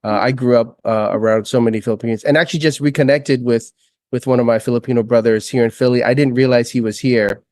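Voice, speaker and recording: Male co-host from American Samoa; friendly, joking, halting delivery, dry recording. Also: medium pitch, steady tone, a little mellow, no echo. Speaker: podcast co-host. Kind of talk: casual podcast chat.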